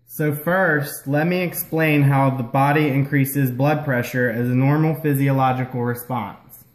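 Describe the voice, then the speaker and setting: A man's voice: mid-range voice, fairly even intonation, measured pace, lightly acted. A man playing a patient, explanatory health-care puppet in a scripted educational show. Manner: explanatory, instructional. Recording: roomy echo.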